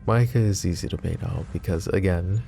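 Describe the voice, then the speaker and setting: A man acting a composed, wry, protective mafia boss in an intimate roleplay audio drama, speaking in a measured, conversational way. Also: deep voice, vocal fry, mellow, soft background music. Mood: serious, frank. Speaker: voice actor.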